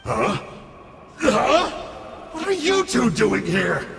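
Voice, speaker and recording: A sly game demon, cowardly n cheeky, gravelly and slow, loud music under it. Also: male voice, very high voice, sing-song, heavily acted. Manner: theatrical, smarmy, playful. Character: sly, cowardly, flippant male abyss demon troublemaker. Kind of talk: video game character acting.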